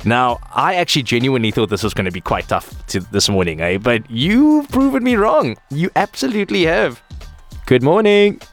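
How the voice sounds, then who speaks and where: A man's voice: mid-range voice, very animated, moderate pace, faint music behind. A man hosting a morning radio show, chatty and friendly with callers in a studio. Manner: friendly, chatty, lots of fillers. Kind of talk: upbeat radio call-in banter.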